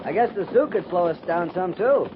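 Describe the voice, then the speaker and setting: An actor playing an eager, deferential junior cavalry lieutenant in a 1950s radio western. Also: male voice, sits high, lively intonation, a little fast. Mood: uneasy. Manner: keen, deferential. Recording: muffled, clearly noisy 1950s radio recording.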